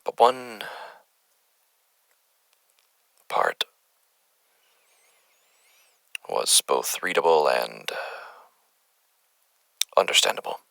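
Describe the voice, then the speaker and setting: A man playing an unsettled doctor who records his findings haltingly, as a horror podcast monologue. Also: extremely low pitch, huge pitch swings, gravelly, sharp tone, no room sound, full character voice. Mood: grave, measured. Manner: halting, hesitant, stammering. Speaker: lead character of a horror audio drama.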